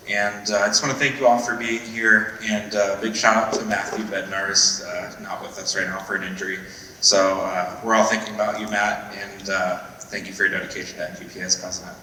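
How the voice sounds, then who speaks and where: A young man on a student panel, speaking earnestly with halting fillers. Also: on the low side, pushed and bright, slight background noise. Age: young adult. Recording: distant mic in echoey hall.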